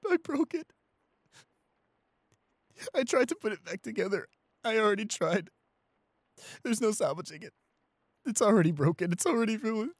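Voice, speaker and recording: A man playing a shy, anxious, apologetic young boyfriend in an audio roleplay, halting and repeating himself in a breathy, husky voice. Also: very high-pitched, darkish tone, no echo. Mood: guilty, distraught. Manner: halting, stammering, repeating pleas. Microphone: close studio microphone, dry.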